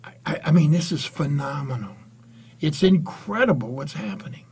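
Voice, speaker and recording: Senior male Bible storyteller, 60s plus. Husky, fairly high register, earnest and emphatic, dropping softer for asides. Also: expressive, moderate pace. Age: 60s or older. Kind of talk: informal Bible lesson with scripture reading and prayer. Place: small indoor room with curtain and bookshelf.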